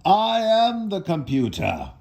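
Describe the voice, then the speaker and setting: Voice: mid-range voice, wildly swooping pitch, takes it slowly, darkish tone, voice pushed up, exaggerated voice. A storybook reader voicing a little old man, overworked and hungry, in a plaintive, exclaiming way. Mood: weary, emphatic.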